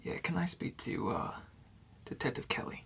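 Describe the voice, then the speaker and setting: A man playing a chatty, nervous bartender in an ASMR noir roleplay, speaking softly and often in whispers with tough-guy slang. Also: moderate pace, natural voice.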